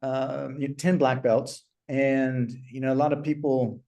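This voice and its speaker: A man's voice: medium pitch, level tone, unhurried, darkish tone. Man, middle-aged, podcast host, chatty, self-deprecating, ums and uhs. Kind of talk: casual interview talk.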